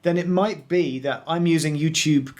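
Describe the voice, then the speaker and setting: A man's voice: on the high side, normal speed. A man co-hosting a casual tech chat, explaining things in a self-deprecating way. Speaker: podcast co-host and streamer.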